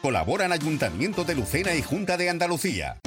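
A man announcing a festive evening event in a lively, sing-song promotional read. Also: a little high, fast. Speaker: ad voice-over. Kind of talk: scripted event ad. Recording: ad read over music.

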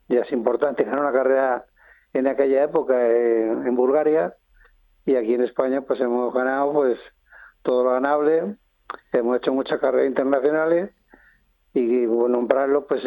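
An older man answers radio interview questions, pausing often and restarting his sentences. He is at an ordinary pitch. His voice comes through a thin, phone-like line with no room sound. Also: no echo. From Spain (Madrid). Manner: halting, with many pauses and restarts. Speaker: interview guest, businessman.

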